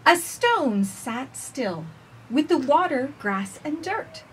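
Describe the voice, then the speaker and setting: A woman in her forties reading a picture book aloud for children with expressive, sing-song phrasing, recorded outdoors on a porch. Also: sits high, slow, faint music behind. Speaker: children's storytime reader. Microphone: camera a few feet away. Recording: distant camera mic, faint outdoor noise.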